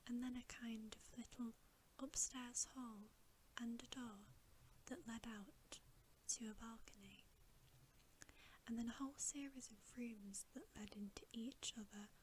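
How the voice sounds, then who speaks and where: Female ASMR narrator for bedtime fiction: gentle breathy whisper, very unhurried delivery, slight background hiss. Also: fairly high pitch, low-quality recording. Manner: gentle, unhurried, soothing storytelling. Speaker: ASMR reader.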